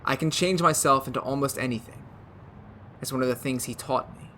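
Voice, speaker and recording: A man acting an undead son who has come back as a wolf, speaking quietly and ominously in a radio drama. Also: mid-range voice, fairly quick, slight background noise, natural voice. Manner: quiet, soft, ominous and regretful. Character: undead young man returned as wolf, eerie calm.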